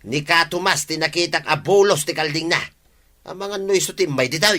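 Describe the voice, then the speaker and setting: A man's voice: fairly high pitch, brisk, pushed and bright. A man acting a stubborn, hot-headed, grudge-bearing farmer in a comedy radio drama, grumbling emphatically. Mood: aggrieved. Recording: noticeable background noise.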